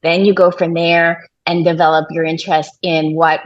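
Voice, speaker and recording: Middle aged lady interviewer on a dull lo-fi video call, lowish voice, chatty and encouraging. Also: little pitch movement, normal speed. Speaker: interviewer, host. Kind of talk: casual remote interview. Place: bright room at home, on a video call.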